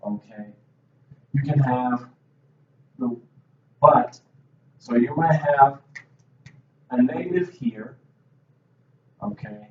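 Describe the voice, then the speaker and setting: Slow, patient male English teacher, roomy. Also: medium pitch. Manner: slow, patient explaining, frequent "okay". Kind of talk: whiteboard grammar lesson. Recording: roomy, distant, dull classroom audio.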